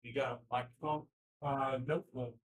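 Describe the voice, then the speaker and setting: A man speaking from the floor of a party meeting without a microphone, loud and halting as he nominates a candidate. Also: mid-range voice, fairly even intonation. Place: meeting room at a convention. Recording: voice from far off the mic.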